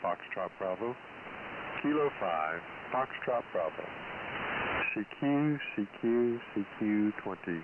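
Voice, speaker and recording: A man's voice: on the low side, expressive, takes it slowly. An older man heard over shortwave radio, making calls and chatting as an amateur operator. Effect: received over radio. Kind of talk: ham radio calling and casual exchange. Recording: noisy narrow-band shortwave radio with hiss.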